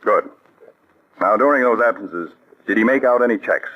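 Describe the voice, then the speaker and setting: An actor playing a calm, methodical male counterspy chief who gives crisp orders, in 1940s radio acting. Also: fairly low pitch, normal speed, lightly acted. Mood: steady, commanding. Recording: muffled old radio recording.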